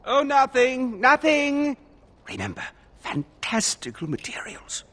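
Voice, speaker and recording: Old perfectionist craftsman, fantasy game. High voice, unhurried, precious, dreamy delivery. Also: wildly swooping pitch, pushed and bright, a little hiss or hum, exaggerated voice. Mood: furtive. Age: older. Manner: affected, precious, breathlessly dramatic. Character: fussy, theatrical old male master craftsman, dreamy perfectionist. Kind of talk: fantasy video game acting.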